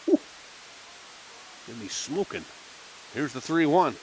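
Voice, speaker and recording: A man calling a minor league baseball game on local radio, chatting casually between pitches. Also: unhurried, a little hiss or hum, low-quality recording. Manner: relaxed folksy chatter between pitches, rising excitement on big plays. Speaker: play-by-play announcer.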